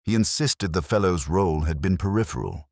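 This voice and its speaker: A man reading scripted literary detective fiction in the first person, in a measured, formal, brooding manner. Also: low-pitched, expressive, a little mellow, no room sound, clean studio sound. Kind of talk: scripted literary mystery narration.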